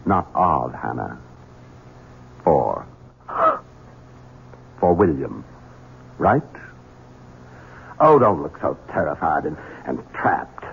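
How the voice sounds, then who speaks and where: An actor playing a young, cruel, scheming heir who speaks in a cold, menacing, insinuating way, in a radio drama. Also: male voice, a bass voice, big pitch swings, very slow, vocal fry, long pauses, a little hiss or hum, heavily acted. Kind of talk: 1970s radio drama acting. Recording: tinny lo-fi radio broadcast.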